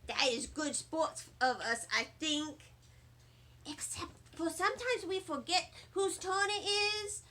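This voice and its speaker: A woman reading a children's storybook aloud in expressive first-person narration. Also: sits high, takes it slowly, slight background noise. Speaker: storybook reader.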